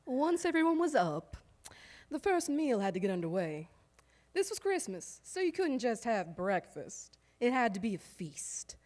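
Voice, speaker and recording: A woman's voice: fairly high pitch, big pitch swings, measured pace, darkish tone. A woman playing a harried, proud old-time household woman, telling a wry, emphatic theatrical monologue that lingers over its lists. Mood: relishing. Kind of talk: theatrical storytelling monologue on stage. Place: live stage performance in a recreation hall.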